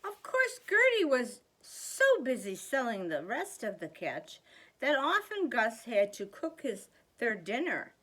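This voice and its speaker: An older woman reads a picture book to small children. Her voice sits a little high and swings widely in pitch as she leans on key words. She takes her time, with a slow, deliberate storytelling pace. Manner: slow, deliberate, animated emphasis. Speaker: grandmother reading a children's book aloud. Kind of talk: expressive storybook read-aloud for young children.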